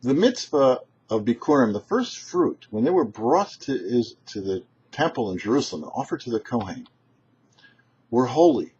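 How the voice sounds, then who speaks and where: A man, a rabbi hosting a short religious teaching, speaking emphatically and deliberately with dramatic pauses. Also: mid-range voice, big pitch swings, a little mellow, breathy edge. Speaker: rabbi, show host. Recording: muffled, dull lo-fi sound.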